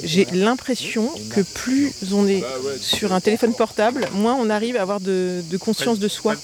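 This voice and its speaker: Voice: medium pitch, moderate pace. Une personne interrogée dans la rue, qui réfléchit à voix haute avec hésitation. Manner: hesitant, thinking aloud. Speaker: passer-by in street interview. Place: outdoors on a festival street.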